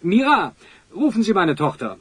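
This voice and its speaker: A man playing a pompous, florid older wealthy magnate with a husky voice in a children's audio drama. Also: sits high, sing-song, moderate pace, fairly bright tone, no room sound, exaggerated voice. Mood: condescending.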